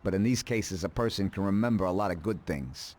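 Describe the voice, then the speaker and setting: A man playing a calm, calculating middle-aged mob advisor in a video game, speaking in a dry, deliberate, matter-of-fact way. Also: low-pitched, lightly acted. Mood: stern, dry. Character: calm, calculating male mafia consigliere, drily ruthless.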